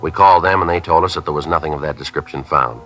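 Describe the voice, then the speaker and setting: An actor playing a calm, methodical police detective, terse and deadpan, in 1950s radio style. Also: male voice, rumbling low, fast, soft background music. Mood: matter-of-fact. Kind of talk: 1950s radio acting, clipped dialogue and narration. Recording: 1950s radio drama recording.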